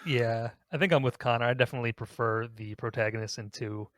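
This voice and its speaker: A man's voice: medium pitch, fairly even intonation, fast talker, fairly bright tone, no room sound. Guy hosting a casual podcast chat, kinda rambly, corrects himself, dry close audio. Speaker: podcast host. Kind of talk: casual group discussion.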